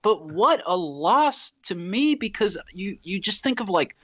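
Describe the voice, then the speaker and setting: Male podcast talker, slightly high and very expressive, conversational, enthusiastic, comic exaggeration. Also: unhurried. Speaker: podcast co-host or guest. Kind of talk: casual podcast chat about audio dramas.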